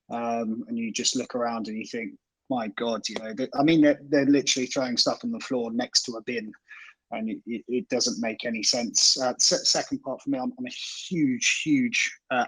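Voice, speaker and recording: A man answering questions on a casual group call, earnest and halting, with many ums. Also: mid-range voice, fairly bright tone, husky, no echo, poor audio. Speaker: project co-founder answering questions.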